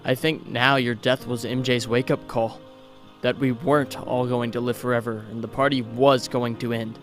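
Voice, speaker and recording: A young male hero of a comic dub , played by a man, pours out his grief in a mid-pitched voice. He is earnest, reflective and a little halting, tender throughout, with music under him. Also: level tone, close to an everyday voice. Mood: wistful, grieving. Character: grieving young male superhero, tender and wistful. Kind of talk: comic dub, dramatic monologue.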